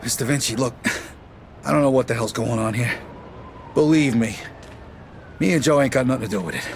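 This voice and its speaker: An actor playing a tough, blunt American mobster in a video game cutscene. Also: male voice, mid-range voice, fairly quick, slight background noise, lightly acted. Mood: defiant.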